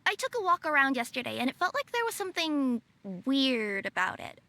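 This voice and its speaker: A woman's voice: high-pitched, very animated, a little fast, sharp tone, dry recording, full character voice. A woman voicing a confident, playful young female yokai courier in a video game, chatty and curious. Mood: breezy, confident. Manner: chatty, breezy.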